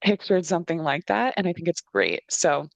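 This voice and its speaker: A woman's voice: lowish voice, big pitch swings, normal speed, gravelly, no room sound. A young woman hosting a casual interview over a video call on a headset. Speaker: interviewer, show producer. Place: indoor room, on a video call.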